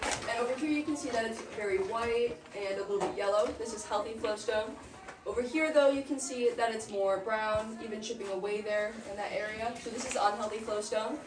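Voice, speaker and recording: A woman's voice: fairly high pitch, over music. Young woman tour guide in an echoey cave. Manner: informative, measured, explains to a group. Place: large cave, indoors. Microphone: far camera held by a visitor. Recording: echoey cave recorded from far off.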